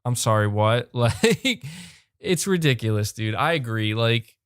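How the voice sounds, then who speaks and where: A young man hosting a live podcast, talking fast and animated, repeating words when fired up and losing his voice. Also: mid-range voice, clean tone, no echo, studio quality. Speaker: podcast host reading super chats. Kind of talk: excited live-stream chat. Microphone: close desk mic.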